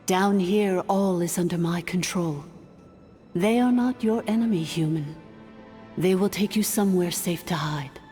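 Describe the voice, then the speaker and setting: Woman as ancient AI dragon sovereign, dark mellow voice, stately, formal, commanding, reverberant, video game. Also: lowish voice, a little slow, close to an everyday voice. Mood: commanding, composed. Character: calm, imperious female ancient dragonkind artificial intelligence sovereign. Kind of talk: scripted game dialogue, solemn exposition. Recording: long hall-like reverb, music underneath.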